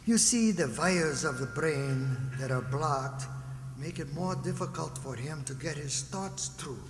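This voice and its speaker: A man playing a formal, condescending psychiatrist who lectures in measured phrases in an old-time radio drama. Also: fairly high pitch, expressive. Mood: lecturing. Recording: echoey hall, background noise.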